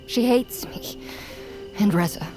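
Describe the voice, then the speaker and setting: An actress as a young warrior woman, proud, bitter and guarded. Her delivery is brooding and intense, often dropping toward a hush. It is cinematic fantasy audio drama, set over music. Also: mid-range voice, slow, lightly acted. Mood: bitter, anxious.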